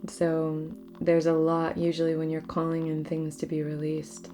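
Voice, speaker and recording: A woman speaking softly as host of a guided spiritual ritual, often slipping into a near-whisper, in a meandering, casual chat. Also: low voice, monotone, moderate pace. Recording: music clearly under the voice. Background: music.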